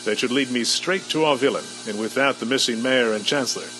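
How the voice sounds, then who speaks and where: A man playing a confident, upright inventor superhero in a radio drama, speaking in a measured, declamatory way. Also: mid-range voice, music in the background, close to an everyday voice, rough recording. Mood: assured. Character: heroic inventor crime-fighter, confident, calm, upright. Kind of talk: old-time superhero radio drama acting.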